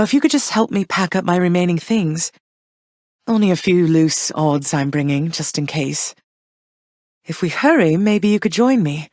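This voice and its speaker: Persephone, gentle underworld queen. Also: sits low, no room sound, clean studio sound. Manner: soft, measured, tender. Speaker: game character. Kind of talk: game voice lines.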